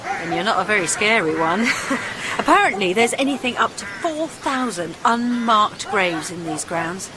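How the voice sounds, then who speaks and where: A woman's voice: medium pitch, very animated, a little slow. A woman playing a brash, mischievous young woman in a radio drama, breezy, teasing and bossy. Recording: noisy atmospheric sound behind.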